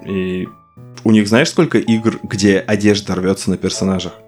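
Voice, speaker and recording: A man's voice: low voice, steady tone, normal speed, soft background music. A man co-hosting a casual game podcast, telling playful stories and laughing as he talks. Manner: playful storytelling, laughs while talking. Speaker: podcast co-host, anime expert. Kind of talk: casual chat about games.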